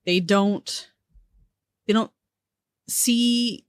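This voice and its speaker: A woman's voice: medium pitch, steady tone, extremely slow, no echo, studio quality. A woman hosting a podcast on witchcraft, talking casually and with enthusiasm. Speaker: podcast host. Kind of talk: casual chat and ad read.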